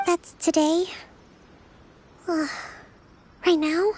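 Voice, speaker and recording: A woman voicing a gentle, composed young priestess leader in a video game, with polite, measured delivery. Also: very high voice, little pitch movement, full character voice, low-quality recording. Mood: wistful. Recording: music behind the voice.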